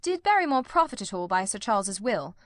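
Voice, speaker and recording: A woman voicing a shrewd, composed gentleman detective in a storybook, formal, measured and authoritative. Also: mid-range voice, sing-song, no room sound, clean studio sound, lightly acted. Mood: probing.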